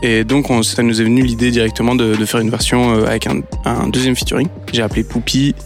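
A man's voice: mid-range voice, level tone, fast talker, soft background music. A man from Belgium, a DJ and producer, chatting as a guest in a radio interview, with many fillers and restarts. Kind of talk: casual radio interview chat.

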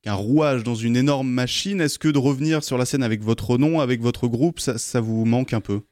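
A man hosts a radio interview, putting polite and formal questions to his guest. His voice sits at an ordinary pitch, and the recording is dry and close to the mic. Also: normal speed, darkish tone, dry recording.

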